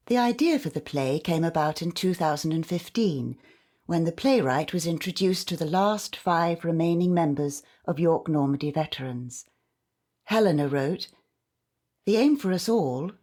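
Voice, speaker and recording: A woman with a fairly low voice delivers a scripted introduction. She speaks as the announcer of an audio play, reading prepared narration. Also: a little mellow.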